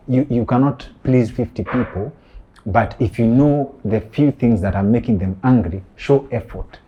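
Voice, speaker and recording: Probing man host, low husky voice. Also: dark tone. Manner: probing, questioning. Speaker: podcast host. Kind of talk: podcast interview.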